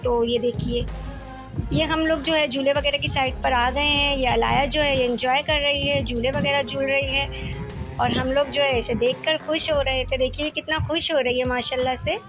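A woman's voice: high voice, fairly even intonation, moderate pace. A woman in her thirties with a Karachi Urdu accent, narrating a family mall outing in a chatty voice-over full of fillers. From Pakistan. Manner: chatty, leans on filler "jo hai" constantly. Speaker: vlogger. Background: music.

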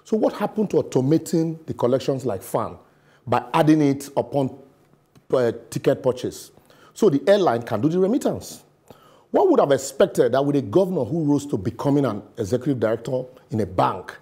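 A middle-aged man with a Nigerian accent reads his opinion piece to a studio camera. His voice is mellow and a little husky, and he leans on words with sarcasm and pidgin asides. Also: medium pitch, animated, normal speed. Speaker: commentator. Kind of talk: scripted opinion commentary. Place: television studio.

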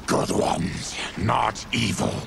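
An actor playing a stern, honorable fanged mutant warrior in a video game, terse and solemn, in a gravelly growl. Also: male voice, on the low side, slow, very noisy, exaggerated voice. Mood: grave, guarded. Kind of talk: dramatic game cutscene acting.

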